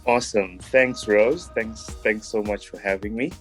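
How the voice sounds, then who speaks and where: A young man from Kenya, a guest on an interview show, talking in a relaxed, reflective way with frequent ums and restarts. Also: lowish voice, moderate pace, faint music behind. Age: young adult. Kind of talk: casual interview talk.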